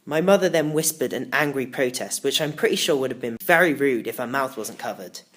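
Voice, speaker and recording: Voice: mid-range voice, lively intonation, a little fast, a bit bright. A narrator reading a first-person children's story as a scripted audiobook.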